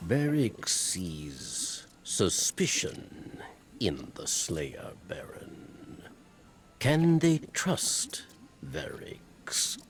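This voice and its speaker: An actor playing a humbled old alien scribe in a video game, speaking theatrically in halting, broken phrases. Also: male voice, on the low side, wildly swooping pitch, exaggerated voice. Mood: wary, halting.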